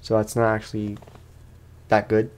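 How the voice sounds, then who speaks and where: A man's voice: fairly low pitch, completely flat delivery, normal speed, a little mellow. A man giving casual let's play commentary as a gaming host, in a rambling, slangy, self-deprecating way.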